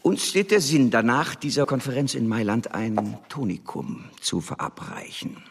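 A man's voice: medium pitch, big pitch swings, normal speed, creaky, breathy edge, heavily acted. An actor playing a contemptuous, condescending embassy official who scolds his agent with sarcasm in a radio drama. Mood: imperious, threatening.

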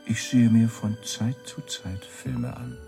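An actor playing a man who recites simple personal statements in short deliberate sentences, with a creaky voice, in a radio spot. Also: medium pitch, steady tone, dark tone. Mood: subdued. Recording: music under the voice.

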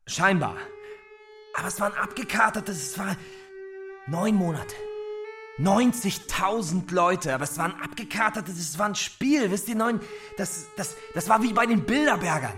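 An actor playing an agitated, intense young teacher who speaks haltingly, repeating himself and breaking off mid-sentence. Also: male voice, high voice, animated, over music. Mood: feverish, rambling. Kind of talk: stage drama acting.

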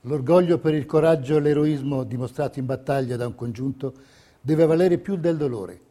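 An actor playing a solemn narrator who reads wartime history and letters in a theatrical documentary style. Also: male voice, a little high, normal speed, mellow, close to an everyday voice. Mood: grave.

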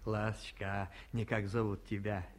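An actor playing a coaxing, bumbling husband in a 1950s radio play. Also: male voice, lowish voice, little pitch movement, a little slow, lightly acted.

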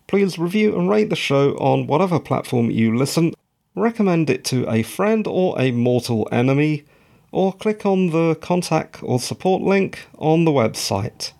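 A podcast host with a croaky, gravelly voice that creaks at the bottom. He talks slowly and deliberately, pausing often. He wanders off into rambling, self-mocking asides. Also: fairly high pitch, darkish tone. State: croaky, tired voice. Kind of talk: solo podcast recap monologue.

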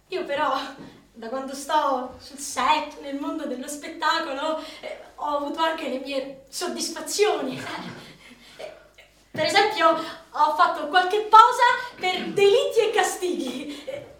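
A woman's voice: sits high, takes it slowly, fairly bright tone. A young woman playing a struggling actress in a comedy radio play, chatty and ironic, with hesitant fillers. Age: young adult. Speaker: narrator and protagonist. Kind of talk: comic radio monologue. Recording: roomy, distant mic, faint noise.

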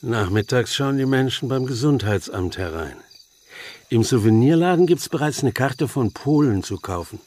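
An actor playing an expressive townsman who observes his neighbours in a German radio drama. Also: medium pitch, moderate pace, lightly acted. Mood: observant. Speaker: chronicler in a radio play.

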